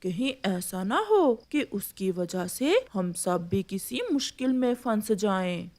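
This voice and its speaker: A woman's voice: medium pitch, animated, slow pace, a little mellow, pitched below the performer's own voice. A woman voicing a wary, gossipy, superstitious male pigeon in a cartoon.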